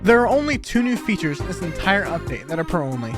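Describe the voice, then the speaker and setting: Fast, high-voiced young man. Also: big pitch swings. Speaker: software developer presenting own app update. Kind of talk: casual scripted video walkthrough. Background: background music.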